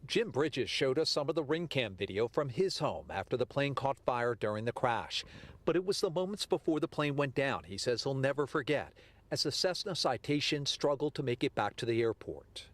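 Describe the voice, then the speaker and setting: A man's voice: mid-range voice, expressive, moderate pace. An American man reporting live for TV news from outdoors at an airport.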